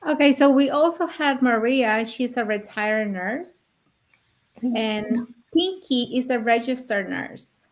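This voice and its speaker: A woman's voice: fairly high pitch, expressive, takes it slowly. A middle-aged or older woman from Mexico co-hosting a live online talk and presenting to viewers. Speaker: co-host of an online business talk show.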